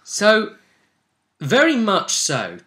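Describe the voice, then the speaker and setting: Bright-voiced man recapping a tabletop game. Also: sits high, wildly swooping pitch, drawn-out words. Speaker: host and game master. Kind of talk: casual recap of a tabletop role-play session.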